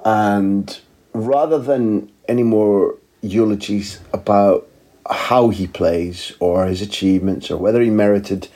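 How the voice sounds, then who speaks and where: A man with a low, mellow and slightly husky voice rambles through a relaxed podcast chat. He speaks as a football journalist and co-host, wry and reflective, with plenty of asides. The sound is warm and unhurried.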